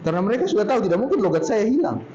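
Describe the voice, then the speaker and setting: A man with a Poso accent tells stories to a group in a loose, easy way and stretches his words. His voice sits high. The sound is dull and lo-fi, with a roomy echo and faint ambience behind him. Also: very animated, dark tone, a little hiss or hum. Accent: Poso regional Indonesian. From Poso, Central Sulawesi, Indonesia. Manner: chatty, drawn-out storytelling tone. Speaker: speaker at a talk. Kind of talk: casual talk to a group.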